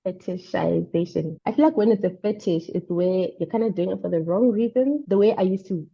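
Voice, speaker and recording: A woman's voice: on the low side, a little fast, creaky, mellow, breathy edge. Woman in a dull-sounding recording. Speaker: interview guest. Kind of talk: casual conversation. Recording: dull, band-limited microphone sound.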